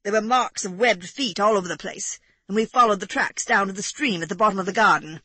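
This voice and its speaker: A woman reading aloud, voicing an indignant country gentleman in agitated, huffy outbursts. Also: medium pitch, fairly quick, slightly rough, no echo, voice pushed up.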